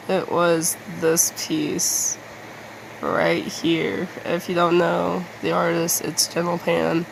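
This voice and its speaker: Halting, creaky-voiced woman rambling casually. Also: lowish voice, slight background noise, low-quality recording. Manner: halting, self-deprecating, mildly sweary. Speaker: artist making videos.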